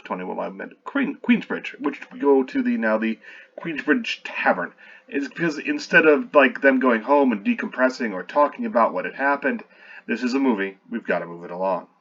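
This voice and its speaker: A man's voice: mid-range voice, lively intonation, normal speed, a bit bright, dull audio. A man reading his own screenplay aloud while revising it, stopping often to correct himself and add wry asides.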